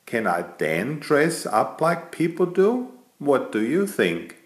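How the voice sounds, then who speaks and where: A man's voice: fairly high pitch, very animated, slow pace, echoey room, voice pushed up. A man voicing a vain, chatty, elegant tomcat who playfully tells a bedtime story to small children. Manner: playful, self-satisfied, addressing small children.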